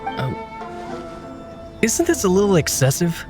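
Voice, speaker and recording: A man's voice: high-pitched, wildly swooping pitch, a little slow, over music, heavily acted. A man playing an earnest, anxious young retainer in a video game, polite and fretful in his manner.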